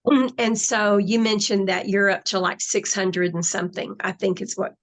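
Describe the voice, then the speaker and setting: A woman as a guest on a talk show, explaining her ideas in an unhurried way and often checking in with "right?". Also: mid-range voice, fairly even intonation. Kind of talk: casual interview conversation.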